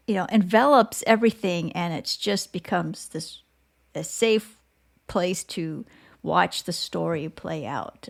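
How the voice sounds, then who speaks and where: A woman hosting a podcast, speaking in a conversational interview style with halting, reflective phrasing. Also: medium pitch, vocal fry. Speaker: podcast host.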